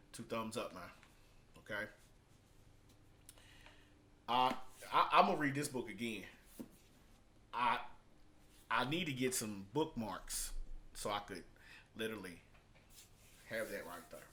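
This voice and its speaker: A man's voice: mid-range voice, pushed and bright, a little hiss or hum. A man talking in a casual vlog review style, conversational and emphatic, repeating phrases to drive points home. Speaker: product reviewer and online marketer.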